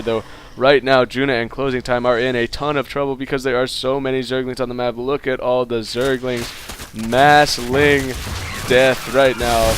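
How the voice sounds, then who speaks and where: A man's voice: fairly high pitch, background noise. A man with a stuffed-up nose giving casual solo play-by-play commentary on a strategy game, with plenty of fillers. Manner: casual play-by-play, many fillers, rising excitement in fights. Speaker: esports caster.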